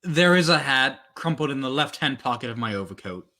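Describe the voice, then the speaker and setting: A man's voice: mid-range voice, animated, brisk, sharp tone. A man acting in an old-time radio drama as a cool, conscientious hired killer, with formal, understated politeness. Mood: cool, matter-of-fact.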